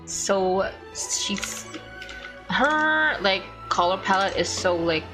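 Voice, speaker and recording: A woman's voice: medium pitch, animated, slow, low-quality recording. A young woman from the Philippines giving casual, gushing reactions as she streams a video game. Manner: gushing, chatty. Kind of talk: casual livestream reaction commentary. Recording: game music behind the voice.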